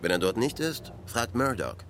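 An actor playing a gruff, huge bearded motel manager in an audio drama, curt and dismissive. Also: male voice, mid-range voice, big pitch swings, normal speed, fairly bright tone. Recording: clear ambient noise behind.

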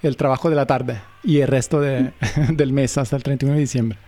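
A man in a casual radio interview. His voice is mellow and soft-edged, sitting at a medium pitch. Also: fairly even intonation, brisk.

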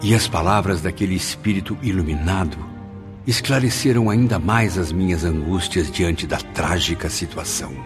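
A man's voice: low voice, moderate pace, lightly acted. A man acting an anguished, compassionate male spirit in a radio drama, pleading and lamenting with dramatic delivery. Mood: troubled, earnest. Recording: music under the voice. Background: background music.

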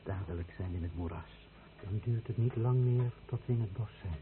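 An actor playing a troubled, earnest fleeing villager in a radio drama, uneasy and questioning. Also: male voice, fairly low pitch, fairly quick, close to an everyday voice. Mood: resigned. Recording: old radio play, tinny, faint noise.

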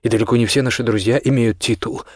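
A man's voice: medium pitch, steady tone, fast, dry recording, studio quality, close to an everyday voice. A man voicing a firm, frank marquis in an audiobook, speaking sternly and insistently as a concerned friend.

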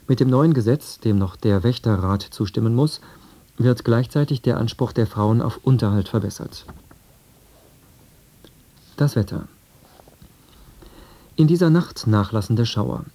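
A man reading a scripted radio news and weather bulletin in a sober, measured manner. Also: on the low side, dark tone. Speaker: radio newsreader.

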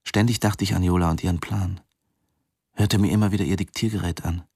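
Low, sober man as weary narrator. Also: steady tone, a little fast, darkish tone, husky, no echo, clean studio sound. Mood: sombre. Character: sombre, weary male diving instructor telling his story. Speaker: first-person narrator. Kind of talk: radio drama narration and dialogue.

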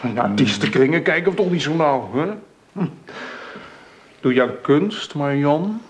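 A condescending bohemian in his thirties for a radio play. He lectures and teases in a sardonic way, with a highish voice that swings widely in pitch. There is some noise on the recording. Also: expressive, a little slow. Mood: mocking, amused. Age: 30s. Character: mocking, condescending bohemian man of thirty-five. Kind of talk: radio drama acting.

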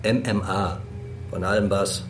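Low-voiced, laconic policeman played by an actor. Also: flat delivery, a little slow, faint music behind, close to an everyday voice. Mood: matter-of-fact. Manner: terse, offhand. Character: laconic, gruff male police colleague, casually bigoted. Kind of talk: understated radio drama acting.

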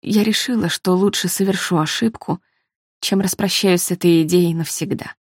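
A woman's voice: fairly low pitch, moderate pace, breathy edge, dry recording, studio quality. A woman narrator voicing an earnest, troubled woman who speaks confessionally in a novel. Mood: anxious, resolved.

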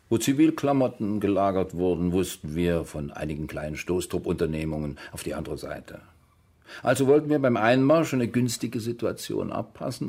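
An actor playing a wry former soldier who recounts his past in colloquial German radio drama monologue, with a gravelly, husky voice. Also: deep voice, darkish tone, exaggerated voice.

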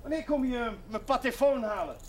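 Lively, teasing village parish priest. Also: male voice, extremely high pitch, normal speed. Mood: wary, insistent. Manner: theatrical, mock-solemn, teasing. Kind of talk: television drama acting.

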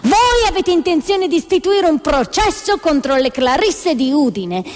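A woman's voice: high-pitched, sing-song, moderate pace, big-room sound, pitched above the performer's own voice. A woman playing bold noblewomen in a stage satire who threaten firmly with mock politeness. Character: bold noblewomen menacing a vicar with icy courtesy.

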